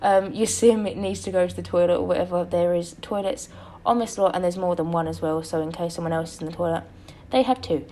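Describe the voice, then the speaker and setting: Young woman, tired and slightly croaky, low-pitched, rambling and apologetic casual voice-over, faint hiss. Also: fairly even intonation, brisk, mellow. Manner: rambling, self-correcting, apologetic. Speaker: game building vlogger.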